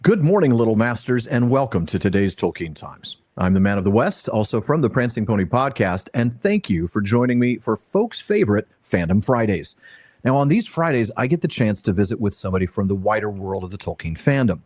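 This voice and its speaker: A man's voice: fairly low pitch, big pitch swings, a little fast, a little mellow, no echo, clean studio sound. A man hosting a podcast, chatting casually, introducing guests and laughing often. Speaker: podcast host.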